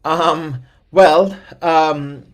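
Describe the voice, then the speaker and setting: A man's voice: on the high side, steady tone, extremely slow. A man from Iran whose first language is Persian, answering interview questions at length in a thoughtful way with many fillers and restarts, heard over a video call. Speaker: guest expert.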